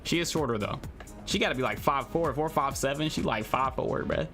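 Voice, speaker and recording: A man's voice: mid-range voice, brisk, a bit bright, soft background music. A man livestreaming a game with casual, jokey, slangy commentary aimed at his chat. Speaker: livestream host reading a visual novel aloud. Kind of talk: casual gaming livestream commentary and read-aloud.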